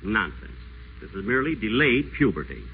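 A man's voice: on the low side, sing-song, a little fast. An actor playing an acerbic, scheming, pompous man in a wheelchair in a 1940s radio play, with a sardonic, theatrical delivery. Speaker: radio celebrity. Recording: tinny old radio broadcast, faint hiss.

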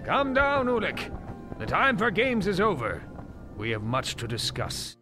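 Solemn Jedi master over music. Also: male voice, sits high, big pitch swings. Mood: grave. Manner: solemn, measured, authoritative. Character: grave, wise male Jedi master. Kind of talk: space-fantasy audio drama acting.

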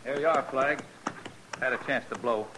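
A man's voice: medium pitch, moderate pace, noisy background, lightly acted. A man in a 1950s radio western playing a breezy, jeering Army officer who eggs on his friend. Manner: mocking, breezy. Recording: old radio drama, crowd behind.

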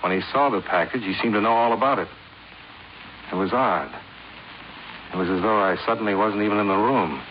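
A man's voice: fairly low pitch, brisk, pauses often, natural voice. An American man playing a hard-boiled, inquisitive insurance investigator in 1950s radio drama style, clipped and matter-of-fact. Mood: uneasy. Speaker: lead actor and narrator of a detective series. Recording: noisy, narrow-band 1950s radio recording.

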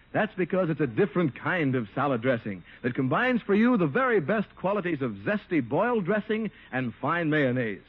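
Animated, high-pitched American radio announcer man. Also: slightly rough. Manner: polished, salesmanlike. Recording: tinny 1950 radio recording.

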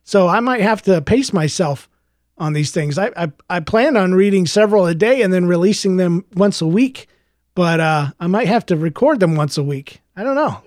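A man with a high, slightly gravelly voice reads his own story aloud and then talks to his podcast listeners. He hesitates often, filling gaps with "uh" and restarting sentences. The sound is close and dry, with no room in it. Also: lively intonation, normal speed, a little mellow, dry recording. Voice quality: a little gravelly. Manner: hesitant, many fillers and restarts in chat. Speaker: podcast host and author. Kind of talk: reading his own book aloud, then casual chat.

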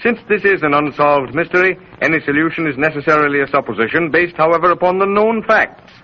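A man's voice: fairly high pitch, fast. Solemn male narrator, muffled old radio. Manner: solemn, oratorical, emphatic. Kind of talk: 1930s dramatic radio narration, scripted. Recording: muffled, hissy 1930s radio recording.

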